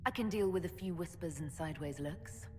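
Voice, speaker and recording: Elf herald woman acting, lower voice, firm n guarded, game dialogue, big hall reverb. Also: brisk, dark tone, slight background noise, lightly acted. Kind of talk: dramatic video game dialogue.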